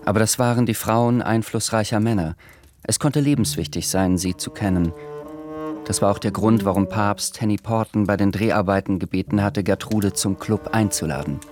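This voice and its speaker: A man narrating a radio drama in a calm, measured storytelling voice. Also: fairly low pitch, natural voice. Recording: music playing underneath.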